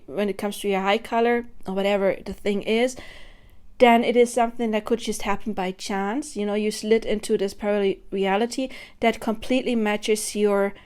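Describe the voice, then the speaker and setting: A woman's voice: mid-range voice, darkish tone. A woman in her thirties giving a spontaneous, earnest monologue to camera about her spiritual beliefs, thinking aloud as she goes. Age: 30s. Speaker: spiritual vlogger.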